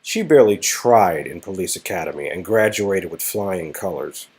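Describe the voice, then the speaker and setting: A man's voice: deep voice, lively intonation, normal speed, a little mellow, husky. A man casually narrating an interactive story game and chatting in asides with his co-reader.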